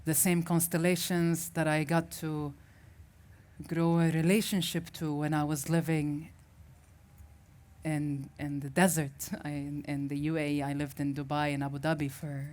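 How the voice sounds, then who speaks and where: A woman's voice: sits low, level tone, a little slow, a little mellow. A woman from Syria, a native Arabic speaker, giving a reflective personal story as a festival speaker and often restarting as she searches for words.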